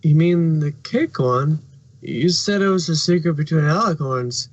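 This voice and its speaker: A man's voice: on the high side, measured pace. A man playing a sleepy younger alicorn princess in a fan fiction reading. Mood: defensive. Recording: muffled lo-fi home recording.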